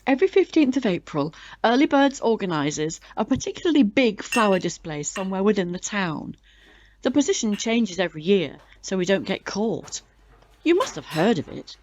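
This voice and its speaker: An actress playing a chatty, confiding club member in an audio drama, with a gossipy way of explaining things. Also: female voice, medium pitch, very animated, fairly quick, darkish tone, natural voice. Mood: explanatory. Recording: dull, noisy recording with clicks.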